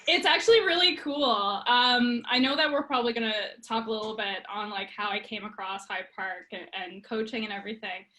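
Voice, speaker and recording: Woman, 30s, PEI accent, bright and fairly high, giggly casual interviewee. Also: a little fast, hardly any pauses, poor audio. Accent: Prince Edward Island. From Prince Edward Island, Canada. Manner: giggly, laughs often. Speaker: girls' program coach.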